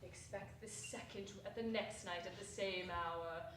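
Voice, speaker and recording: A woman playing the mournful chained ghost of a dead business partner in a dramatic stage reading, slow and lamenting. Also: on the low side, fairly even intonation, fairly bright tone, a little hiss or hum. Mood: warning. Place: small theatre stage. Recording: echoey hall from far off.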